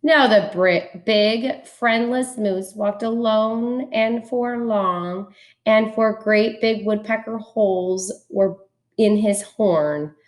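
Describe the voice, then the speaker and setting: Female read-aloud storyteller, teacher type, expressive, unhurried delivery, mid-range pitch. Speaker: schoolteacher reading aloud.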